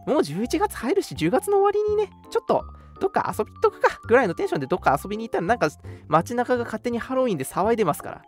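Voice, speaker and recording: A young man speaks as a casual radio host. His delivery is rapid and swings widely in pitch, with playful self-deprecation. Music plays softly behind him. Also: sing-song. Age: young adult. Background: background music.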